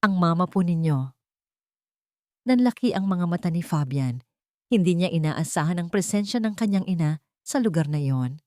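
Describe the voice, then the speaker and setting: A woman with a fairly low voice narrates a scripted drama. She tells it in a dramatic storytelling style, recorded close and dry. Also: lively intonation, normal speed, warm tone, no room sound.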